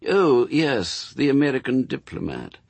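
Casting: male reader as prim, courteous angel for a storybook, highly expressive intonation, dry close sound. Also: a little slow, vocal fry, husky, dry recording, heavily acted. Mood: prim disapproval. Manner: polite, old-fashioned, mannered phrasing.

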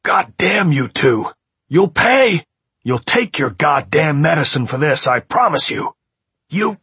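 A man voicing an enraged, desperate husband in an audiobook, intense, shouting and pleading. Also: on the low side, a little slow, breathy edge, no echo. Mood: howling rage. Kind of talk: audiobook character voice.

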